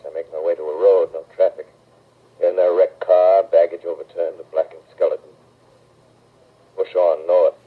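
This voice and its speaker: An actor playing a haunted, exhausted astronomy professor in a 1930s radio drama, a man speaking gravely and deliberately with broken pauses. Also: low voice. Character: haunted, exhausted male astronomy professor, lone survivor of invasion. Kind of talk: 1930s radio drama acting, first-person monologue. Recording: muffled, thin vintage radio broadcast recording.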